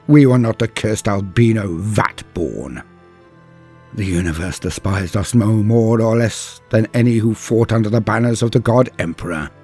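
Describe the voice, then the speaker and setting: Man voicing a husky, deep, battle-scarred space-marine veteran. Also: a little mellow, faint music behind. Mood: proud, defiant. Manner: solemn, theatrical, deliberate with heavy pauses. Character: grave, embittered male superhuman space-marine veteran recounting a massacre. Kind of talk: dramatic scripted first-person story narration.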